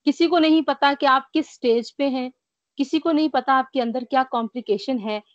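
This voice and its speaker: A woman's voice: high-pitched, normal speed, no room sound. Breathless woman on a dull video call. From Pakistan. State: short of breath, tired; mild cough. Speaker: guest recovering from covid. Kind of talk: remote interview. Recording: dry, dull lo-fi call audio.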